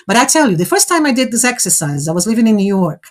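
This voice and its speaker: A woman's voice: mid-range voice, very animated, fast. A woman whose first language is Portuguese, hosting a live online exercise, encouraging participants and checking in often with "okay?" and "all right?". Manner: encouraging, coaching, frequent check-ins like "okay?". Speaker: host running a fluency exercise.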